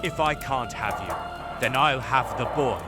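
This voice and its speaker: An actor playing a cold, cruel dark wizard villain who taunts and threatens. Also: male voice, mid-range voice, measured pace, lightly acted. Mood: cold menace. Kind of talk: fantasy film villain acting. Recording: voice under loud music.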